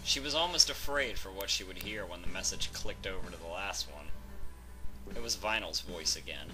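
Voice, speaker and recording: A man's voice: low voice, lively intonation, moderate pace, music in the background, low-quality recording. A man reading a scripted fan fiction story as its narrator.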